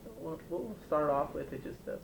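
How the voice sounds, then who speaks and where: A man interviewing a state representative for an oral history project, asking questions in a halting way, with many fillers and restarts. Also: on the high side, gravelly. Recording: echoey room with background noise.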